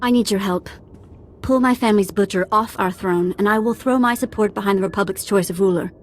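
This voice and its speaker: An actress playing a proud, vengeful princess in a video game, formal and forceful. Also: female voice, mid-range voice, brisk, slight background noise, lightly acted. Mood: determined.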